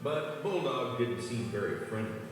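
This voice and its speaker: A man's voice: mid-range voice, lively intonation, normal speed. A man reading scripted narration for a children's read-along storybook. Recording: echoey, distant, long reverb, music behind.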